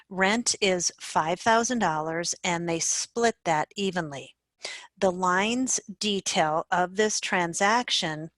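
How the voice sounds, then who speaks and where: A woman with a fairly low voice narrates an instructional screencast. She explains things in a measured manner, as a software trainer would. The sound is dry, with very little room echo.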